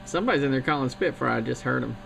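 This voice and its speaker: Gravelly man chatting over noisy CB radio. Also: mid-range voice, lively intonation, racing, fairly bright tone. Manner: rambling, chatty, with fillers. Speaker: CB radio operator. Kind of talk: casual CB radio chat. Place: home radio station.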